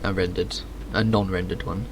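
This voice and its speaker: A man's voice: sits low, little pitch movement, moderate pace, background noise. A man doing casual, flippant, sweary live commentary as a game streamer. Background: game music.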